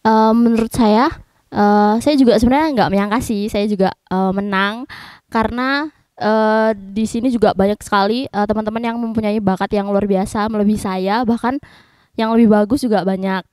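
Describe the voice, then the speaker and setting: A woman's voice: medium pitch, steady tone, normal speed, a little mellow, clean tone, no room sound. A young woman from Indonesia, a student guest, speaking modestly with many hesitant fillers. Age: young adult.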